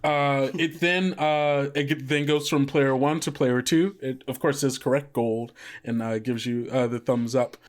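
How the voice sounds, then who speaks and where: A man's voice: mid-range voice, steady tone, measured pace. A man narrating as game master, rambling with many fillers and frequent laughs.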